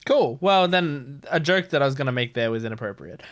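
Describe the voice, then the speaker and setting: A man's voice: mid-range voice, expressive, fairly quick. A man hosting a reaction video, casually thinking aloud in loose, rambling commentary.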